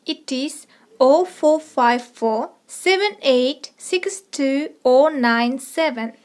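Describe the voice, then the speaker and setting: A woman with a high voice hosts a language lesson. She speaks as a teacher, setting out short phrases and their translations, then repeating them with care for learners. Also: takes it slowly. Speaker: language teacher, channel host. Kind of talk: scripted trilingual language lesson.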